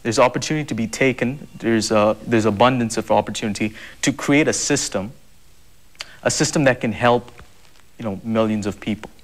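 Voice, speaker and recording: A man's voice: mid-range voice, normal speed. A young man from Zambia, answering interview questions earnestly, with many fillers and repeated words. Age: young adult. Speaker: guest.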